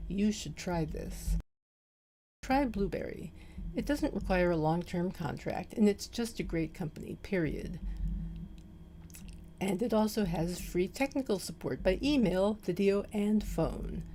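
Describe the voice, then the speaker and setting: A woman's voice: medium pitch, vocal fry, dark tone, slight background noise. A woman hosting a podcast, delivering an introduction and a sponsor plug. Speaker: podcast host.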